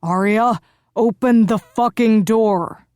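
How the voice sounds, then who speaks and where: A woman narrator voicing an angry, possessive young husband in an audiobook, speaking in a low, menacing way. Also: takes it slowly, darkish tone, no echo, clean studio sound. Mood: furious. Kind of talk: audiobook character voice.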